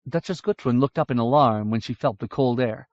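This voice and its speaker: A man narrating a fantasy audiobook in a measured, even way. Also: mid-range voice, no room sound. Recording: dull lo-fi audiobook recording.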